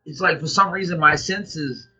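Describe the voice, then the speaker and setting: A man with an American accent and a husky voice, telling anecdotes in casual, colloquial vlog chat. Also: on the high side, moderate pace, pushed and bright. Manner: colloquial, acts out quoted exchanges. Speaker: vlog co-host. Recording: dull lo-fi audio, music underneath.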